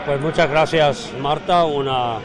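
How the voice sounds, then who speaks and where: A man's voice: a little high, moderate pace, noisy background. A man reporting live for radio from a busy city square, doing street interviews. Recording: busy protest crowd outdoors. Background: crowd of protesters.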